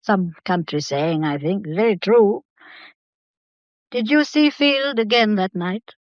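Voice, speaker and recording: Actress as elderly English matriarch, radio mystery. Brisk, probing, unshakeable. Dry, dull recording. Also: female voice, mid-range voice, dry recording, close to an everyday voice. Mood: imperious, probing. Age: older. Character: sharp, unflappable old grandmother of a well-off household. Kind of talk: radio mystery drama acting. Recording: dull, muffled lo-fi audio.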